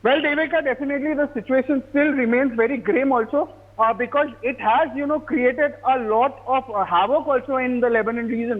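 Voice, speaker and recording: A man with an Indian accent giving a live news report as a correspondent, halting and repetitive in his delivery. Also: extremely high pitch. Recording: thin, phone-like radio-style line.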